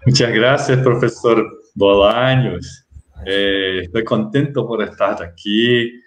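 A middle-aged Brazilian man lecturing over a video call, his voice sitting a little low. He speaks very slowly and carefully, restarting words as he goes. The webcam audio sounds roomy. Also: fairly even intonation. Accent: Brazilian Portuguese. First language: Portuguese. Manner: halting, careful, frequent restarts. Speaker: guest lecturer. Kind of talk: online lecture with slides.

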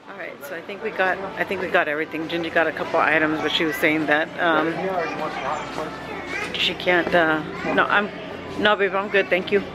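A woman's voice: on the low side, steady tone, moderate pace, a loud crowd, heavy background noise. A middle-aged woman filming a casual family vlog in a big grocery store, chatting to the camera and laughing often, recorded on a handheld camera at arm's length. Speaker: vlogger. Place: large grocery store, indoors.

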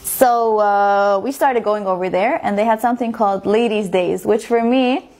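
A woman's voice: medium pitch, normal speed, darkish tone. A woman in her twenties from Estonia, a native Estonian speaker, telling a personal story casually as a video blogger, chatty and repeating words for emphasis. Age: 20s.

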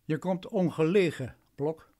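An actor playing a self-important, domineering lawyer in a radio play, patronising and imperious. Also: male voice, fairly high pitch, measured pace, warm tone, close to an everyday voice.

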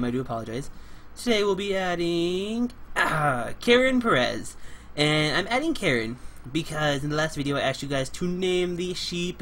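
A young man hosting a casual game let's play, playful and chatty, getting over a cold and clearing his throat now and then. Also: sits high, a bit bright, a little hiss or hum. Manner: playful, chatty, talks to animals and co-player in a cutesy way. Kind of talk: casual gameplay commentary.

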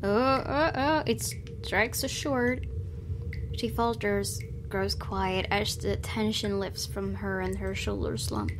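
A woman's voice: mid-range voice, takes it slowly. A woman doing a let's play, reading a visual novel's narration aloud in a dramatic way. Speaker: gaming YouTuber. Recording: noisy, game ambience behind voice.

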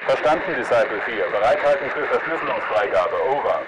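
An actor playing a firm, controlled military command operator in video game radio chatter, formal and authoritative over radio. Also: male voice, mid-range voice, animated, brisk. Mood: firm, businesslike. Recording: very noisy military radio transmission.

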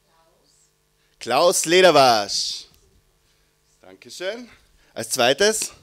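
A man's voice: on the high side, sing-song, extremely slow, a bit bright. A man in his thirties with an Austrian German accent, hosting a live poetry slam on a theater stage with a handheld mic, in a playful, self-mocking way. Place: dark theater stage before a seated audience. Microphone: handheld microphone.

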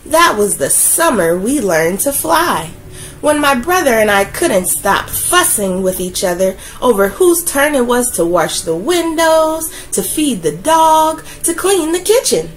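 Slow, gentle woman reading a storybook. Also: a little high, soft background music, rough recording. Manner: gentle, expressive, slow and soothing. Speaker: children's book reader. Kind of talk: read-aloud storytelling.

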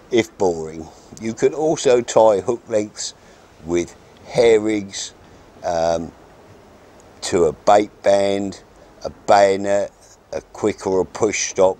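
A man's voice: fairly low pitch, warm tone. Older man giving plain fishing instructions. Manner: plain, practical and frank, with short step-by-step instructions. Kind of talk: casual how-to demonstration. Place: outdoors in a garden by a wooden fence.

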